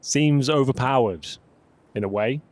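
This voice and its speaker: A man's voice: mid-range voice, measured pace, a little mellow, no echo. Dry-witted male esports analyst. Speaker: esports commentator.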